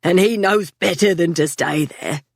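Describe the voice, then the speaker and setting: A smug young man who drawls and mocks, as a woman narrator plays him. He sits slightly lower than her own voice and takes his time, recorded close and dry. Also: a little slow, gravelly, husky, no echo, exaggerated voice. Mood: mocking. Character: bossy, mocking young man, an older brother.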